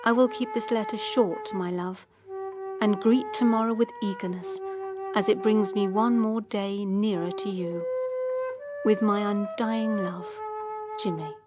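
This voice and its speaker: An actress playing a tender, grieving soldier's wife in a radio drama, wistful and deliberate. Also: female voice, mid-range voice, steady tone, loud music behind the voice, natural voice.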